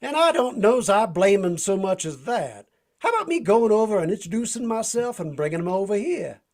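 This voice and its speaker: Man playing folksy, animated adventurer with drawling slang. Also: sits high, fairly quick. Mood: eager. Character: folksy, talkative, eager male cowboy-type adventurer, Doc. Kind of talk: vintage radio drama acting.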